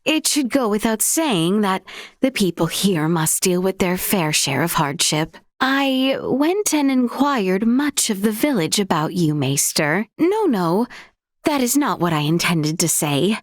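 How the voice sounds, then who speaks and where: A woman's voice: mid-range voice, very animated, unhurried, no room sound, studio quality. An actress playing a polite, earnest young noblewoman in a fantasy video game, speaking in formal, archaic, courteous phrases. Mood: apologetic, sincere. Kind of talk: video game character voice acting.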